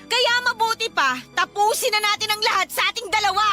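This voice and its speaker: A woman playing an irritable, fed-up, sharp-tongued girlfriend in a Filipino radio drama, with a Filipino accent and a scolding manner. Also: very high voice, a little fast. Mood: defiant, irritated. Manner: snappish, scolding, exasperated. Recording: music behind the voice.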